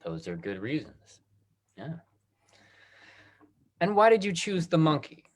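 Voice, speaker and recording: A man's voice: a little high, wildly swooping pitch, unhurried. A man hosting an online question-and-answer session, upbeat and encouraging. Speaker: talk back host. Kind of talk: video call Q&A. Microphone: video call.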